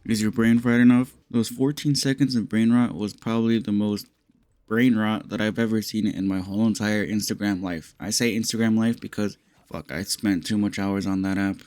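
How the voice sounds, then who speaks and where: A young man talks casually into a close, dry microphone, his voice slightly raspy and sitting a bit low. He rambles along, swearing freely with an amused edge, and his tone stays mellow rather than bright. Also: steady tone, normal speed, no echo. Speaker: commentary YouTuber. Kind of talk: casual reaction commentary.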